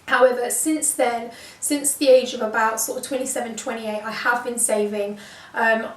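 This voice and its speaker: A woman from the UK in her early thirties chats casually to camera, filling pauses with ums and repeating words for emphasis. Her voice sits a little high. She is heard a little way off the camera mic, in a room with noticeable echo. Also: fairly even intonation, far from the mic. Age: 30s. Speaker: lifestyle vlogger. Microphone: shotgun mic on camera. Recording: echoey room, mic set back.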